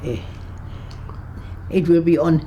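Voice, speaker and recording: A woman over one hundred, from Berlin, recalling her past in an interview, halting and searching for words with frequent restarts, and laughing easily with dry humor. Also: low voice, dark tone, a little hiss or hum. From Berlin, Germany. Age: 100s. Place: her home on a kibbutz.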